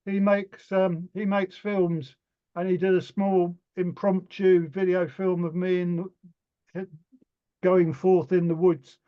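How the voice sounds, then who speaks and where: A man's voice: high-pitched, flat delivery, takes it slowly, muffled recording. A man from the English East Midlands giving a casual, wry update on an online call. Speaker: campaign group member.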